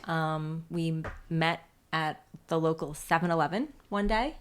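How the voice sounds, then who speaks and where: A woman in her forties hosting a podcast, speaking in a soft, reflective way with many pauses. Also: sits low, slight background noise. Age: 40s. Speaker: podcast host. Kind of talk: casual faith-based chat.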